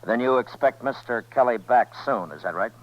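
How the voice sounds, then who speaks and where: A man playing a steady, courteous, laconic lawman in a 1950s radio Western. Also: medium pitch, normal speed, close to an everyday voice. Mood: probing. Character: steady, courteous, laconic US Marshal in the Old West. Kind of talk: 1950s radio Western acting and first-person narration. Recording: muffled 1950s radio recording.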